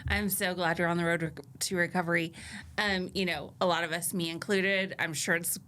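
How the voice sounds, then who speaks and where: A woman's voice: lowish voice, fairly even intonation, brisk, pushed and bright, slight background noise. A woman hosting a casual health chat, asking friendly, short questions. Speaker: host.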